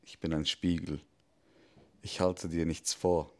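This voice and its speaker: A cynical devil speaks in a very deep, unhurried voice, as a man acts him out, confiding rather than shouting. He is measured and intimate, with a soft menace under every word. It plays like a scripted dramatic monologue. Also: little pitch movement. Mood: hushed, brooding. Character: calm confiding male devil, cynical, mocking.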